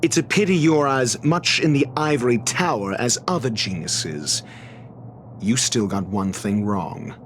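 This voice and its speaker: A man as an arrogant, unhurried scholar-doctor. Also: medium pitch, lively intonation, slight background noise, natural voice. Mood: lofty lecturing. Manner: pompous, measured, formal theatrical phrasing. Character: arrogant, erudite male scholar-doctor, fastidious and disdainful of fools. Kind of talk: scripted video game cutscene dialogue.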